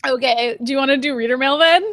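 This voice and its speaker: Female co-host, higher voice, chatty and giggly, dry close sound. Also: quick pace, a bit bright, dry recording. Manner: laughing, chatty, lots of "like". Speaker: podcast co-host. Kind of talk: casual chat.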